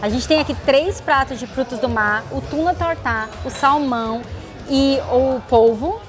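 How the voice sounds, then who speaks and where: A woman's voice: high-pitched, unhurried, over music. A woman nutrition coach co-hosting a travel show, explaining meals in an upbeat, chatty way. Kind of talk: casual travel show chat.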